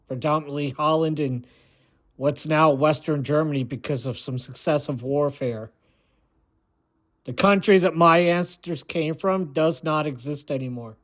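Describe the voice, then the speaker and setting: An American man giving a rambling, opinionated monologue in a blunt, dismissive way, often halting and repeating himself. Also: a little high. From United States. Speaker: video maker.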